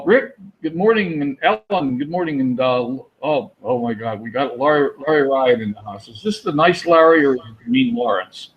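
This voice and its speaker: Brief male host, enthusiastic, stumbling restarts, dull lo-fi call audio. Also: mid-range voice. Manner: enthusiastic, repeats words, many "uh" restarts. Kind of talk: casual webinar hosting. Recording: muffled lo-fi audio.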